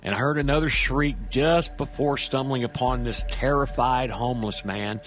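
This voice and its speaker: An actor playing a nervy, rambling street-wise man who tells a horror story in a halting, stammering, conversational way, with music behind him. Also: mid-range voice, steady tone, a bit bright, close to an everyday voice. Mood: hushed storytelling. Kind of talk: audio drama first-person horror monologue.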